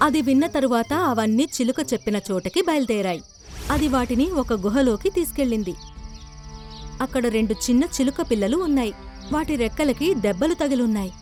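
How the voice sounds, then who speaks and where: A woman's voice: on the high side, expressive, a little fast, darkish tone. A woman narrator reading a scripted children's story. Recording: background music under the voice.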